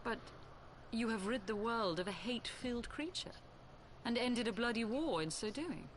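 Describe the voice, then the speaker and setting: An actress playing a solemn, regretful noblewoman in a fantasy video game, with measured, formal, archaic phrasing. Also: a little high, lively intonation, a little hiss or hum, close to an everyday voice. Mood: earnest.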